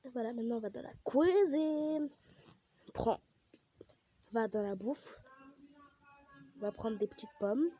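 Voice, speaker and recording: A woman's voice: a little high, expressive, a little slow, long pauses, warm tone, slightly rough. A young woman with a cold chats casually and rambles with many fillers while she plays a game. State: has a cold, coughing. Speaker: gaming vlogger.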